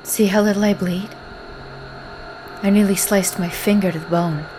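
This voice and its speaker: A woman's voice: mid-range voice, steady tone, moderate pace, warm tone, a little hiss or hum, lightly acted, rough recording. A woman playing a mysterious, beguiling young woman in an audio horror drama, guarded and resigned in manner. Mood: eerily calm. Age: young adult.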